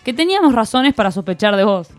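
A woman's voice: fairly high pitch, big pitch swings, fast, faint music behind. A woman co-hosting a comic radio show in a studio, polite and mock-formal. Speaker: radio co-host.

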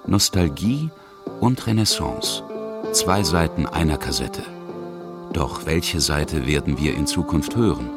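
Very deep male narrator over loud music. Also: lively intonation, slow pace. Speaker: feature narrator. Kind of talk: scripted documentary narration.

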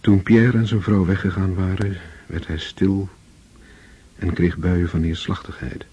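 A man narrating a radio play in a measured, solemn manner. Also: low voice, little pitch movement, mellow, slightly rough. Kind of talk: scripted literary narration. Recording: dull lo-fi old radio recording.

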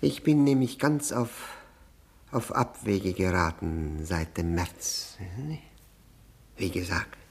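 An actor in a radio play, speaking as a bitter, mocking widower who is falsely servile, insinuating and theatrical, drawing words out and dropping into breathy whispers. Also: male voice, deep voice, lively intonation, takes it slowly, full character voice. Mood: brooding, confiding. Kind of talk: German radio play acting.

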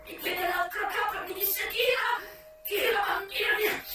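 A woman's voice: extremely high pitch, steady tone, moderate pace. An actress performing a dramatic stage monologue as a young American former prostitute and single mother, raw, defiant and emotional. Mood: urgent, commanding. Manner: theatrical, emotional, swinging from hushed to wailing. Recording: distant, very echoey stage recording with music. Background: music.

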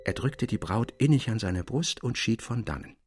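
A man reading a literary tale as an expressive audiobook narrator. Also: on the low side, normal speed. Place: dry studio.

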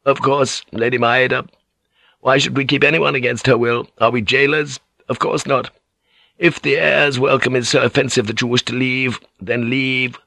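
A man's voice: medium pitch, little pitch movement, moderate pace, vocal fry, sharp tone, no room sound, pitched above the performer's own voice. A man voicing an arrogant, ruthless Japanese warlord general in an audiobook, with a cold, scornful manner. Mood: icy, contemptuous. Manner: cold, scornful, rhetorical questioning. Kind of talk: dramatic audiobook character voice.

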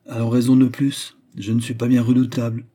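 Proud queen for a historical audiobook, played by a man: commanding, emphatic, theatrical, with a dark, husky tone. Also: fairly low pitch, moderate pace. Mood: haughty. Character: proud, imperious queen, defiant and wounded in love. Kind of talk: dramatized historical novel reading.

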